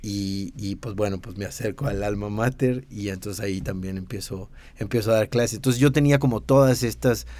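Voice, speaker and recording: A man's voice: lowish voice, normal speed, few pauses, dark tone, slight background noise. A Mexican man in his thirties with a Mexican accent, a designer and teacher chatting casually in an interview, rambling with many fillers and restarts.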